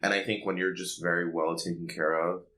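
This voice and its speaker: Deep voiced dude on a pop culture podcast, opinionated, chatty, says like a lot. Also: male voice, level tone, brisk, bright, forceful tone. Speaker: podcast co-host.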